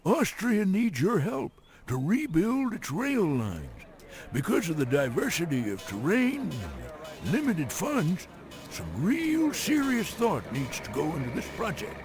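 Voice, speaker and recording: An actor playing a blustery, folksy, wisecracking mission briefer in theatrical, grandiose train game cutscenes. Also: male voice, high voice, wildly swooping pitch, a little slow, music in the background, exaggerated voice. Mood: grave.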